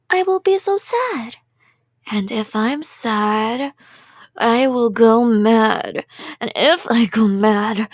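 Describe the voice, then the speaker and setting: High-voiced, sweetly menacing yandere girl, acted by a woman. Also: breathy edge, no echo, studio quality, heavily acted. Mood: quietly menacing. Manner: rambling, giggly, coy, threatening in a sweet tone. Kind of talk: intimate ASMR roleplay acting.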